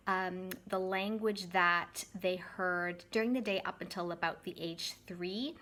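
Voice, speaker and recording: A woman's voice: lowish voice, fairly bright tone. A woman from Canada in her thirties, talking casually to her channel's viewers and correcting her own word choices as she goes. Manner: chatty, self-correcting, friendly direct address. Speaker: YouTube vlogger and English teacher. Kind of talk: casual talk to camera.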